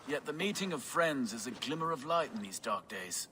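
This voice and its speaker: An optimistic, philosophical warrior ally in a game cutscene, friendly and courtly. His voice is bright and lively in pitch, with noisy ambience behind it. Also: normal speed. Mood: warm, philosophical. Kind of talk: video game cutscene acting.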